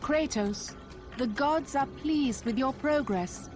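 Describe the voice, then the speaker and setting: Imperious, alluring love goddess. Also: female voice, sits high. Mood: imperious, bestowing. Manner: regal, deliberate, commanding. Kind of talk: dramatic video game acting. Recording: music under the voice.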